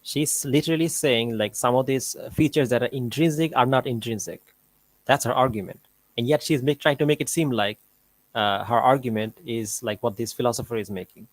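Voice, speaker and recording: A man's voice: medium pitch, brisk. A man hosting a live show, giving casual debate commentary peppered with "like" as he explains. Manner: filler-heavy, often says "like".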